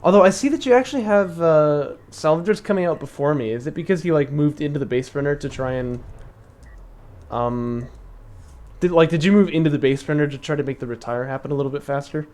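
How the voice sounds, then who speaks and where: A young man with a somewhat high voice talks through a strategy session on a live stream. He thinks aloud, fills gaps with "like" and "um", and laughs often. His voice has turned slightly raspy from long talking. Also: brisk, darkish tone. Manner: chatty, thinks aloud with many fillers and laughs. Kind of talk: casual live-stream commentary.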